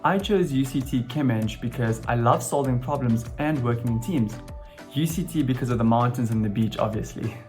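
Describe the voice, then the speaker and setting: Man, twenties, medium pitch, graduate testimonial, faint music underneath. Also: moderate pace, a little mellow. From South Africa and Saudi Arabia. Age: 20s. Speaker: recent engineering graduate. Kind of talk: personal testimonial.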